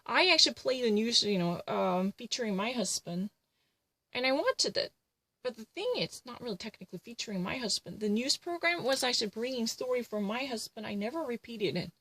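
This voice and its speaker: A woman's voice: on the high side, lively intonation, fairly quick, a bit bright. A woman ranting to camera in an indignant, repetitive way, filling her sentences with "actually" and "you know". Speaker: vlogger.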